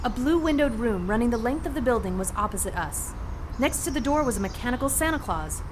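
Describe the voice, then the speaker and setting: A woman's voice: a little high, animated, quick pace, background noise, close to an everyday voice. A woman playing a plucky, sassy 1940s heroine who narrates her adventure in an audio drama with brash, wry slang. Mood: sassy, wary.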